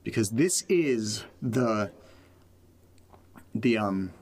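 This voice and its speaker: A man's voice: fairly low pitch, lively intonation, drawn-out words, a little mellow, breathy edge, heavily acted. An actor playing a rambling, self-deprecating young man recording a casual, joking audio diary. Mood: wry, upbeat. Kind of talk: found-footage audio drama, casual podcast monologue.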